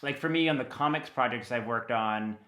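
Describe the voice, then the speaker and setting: A middle-aged man chatting casually as an interview guest, telling anecdotes in an animated, informal, loosely rambling way. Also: mid-range voice, brisk, reverberant. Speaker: guest, audio dramatist and producer.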